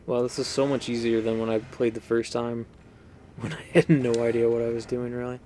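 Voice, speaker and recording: A man's voice: medium pitch, fairly even intonation, fairly quick, dark tone, a little hiss or hum. A man giving casual, rambling, unscripted commentary while playing a video game. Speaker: gaming video host.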